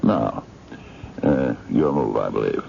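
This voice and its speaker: A man's voice: rumbling low, slow, vocal fry, warm tone, heavily acted. An actor in a radio mystery drama playing an eccentric, courtly man of mystery, formal, hesitant and coaxing. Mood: courtly, sly. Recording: dull, noisy 1970s radio drama recording.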